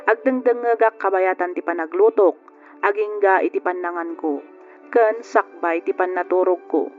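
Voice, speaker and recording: A woman's voice: mid-range voice, close to an everyday voice. A woman playing a wistful letter writer who narrates in an old-style radio drama, on a tinny recording. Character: wistful female letter writer narrating her lost love. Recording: tinny phone-like radio sound with music.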